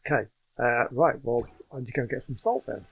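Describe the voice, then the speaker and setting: A man's voice: medium pitch, a little fast, creaky, slight background noise, poor audio. A man giving casual, chatty commentary as he plays a game, thinking aloud and reading choices out. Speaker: gaming streamer.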